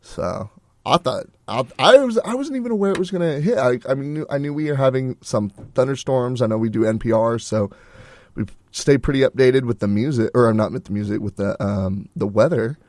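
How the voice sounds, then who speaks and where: A man's voice: medium pitch, brisk, no room sound. Young male college radio co-host, loose and chatty, mid-range voice, dry booth sound. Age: young adult. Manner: rambling, relaxed storytelling with fillers. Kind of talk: casual chat.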